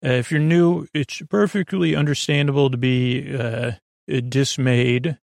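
A man hosting a bedtime podcast, drifting through meandering, digressive talk in a soft, unhurried, self-deprecating way. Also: mid-range voice, steady tone, gravelly, dry recording, studio quality. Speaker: podcast host.

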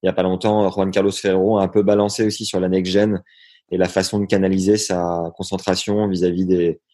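Homme taquin à la voix grave. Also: male voice, sits low, little pitch movement, fairly quick, dark tone. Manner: teasing, informal. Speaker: interviewer. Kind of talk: casual, joking interview.

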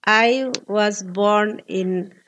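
A woman in her forties from Bangladesh with a Bangladeshi accent, speaking earnest, halting English as an interview guest. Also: medium pitch, a bit bright, slight background noise. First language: Bengali. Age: 40s. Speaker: guest, labor activist.

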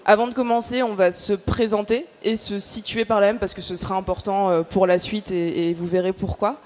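A woman with a mid-pitched voice gives a public talk as an activist. She explains her points earnestly and in order, though her delivery is stop-and-start, with frequent hesitation. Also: fairly even intonation, a little fast, a little mellow. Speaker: activist panel speaker.

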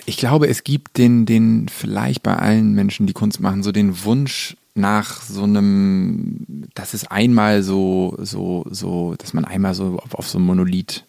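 A man with a fairly low, gravelly voice talks casually as an interview guest. He is reflective and halting, circling back as he looks for the right word. The sound is close and dry. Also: fairly even intonation, darkish tone, no room sound. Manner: reflective, halting, searching for words. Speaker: guest musician. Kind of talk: casual conversational interview talk.

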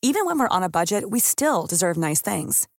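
Lively female ad voice, dry sound. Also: mid-range voice, a little fast, dry recording. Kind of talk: scripted advertisement.

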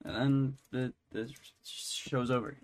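A man co-hosting a podcast in casual, unscripted recap chat, halting and often restarting his sentences. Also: medium pitch, fairly even intonation. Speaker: podcast co-host.

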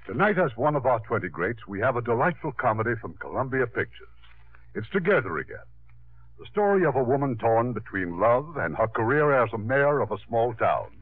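Formal old-time radio host, lively pitch. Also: male voice, narrow, tinny audio. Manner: formal, measured. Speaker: host and narrator. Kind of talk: 1950s radio scripted narration. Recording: old radio recording, thin sound, music behind.

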